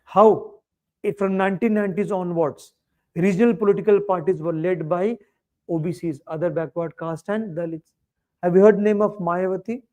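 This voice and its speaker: A male teacher with an Indian English accent delivers a coaching lecture, his voice sitting high for a man. He throws rhetorical questions at the class and answers them with emphasis. His delivery is steady and explanatory. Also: normal speed.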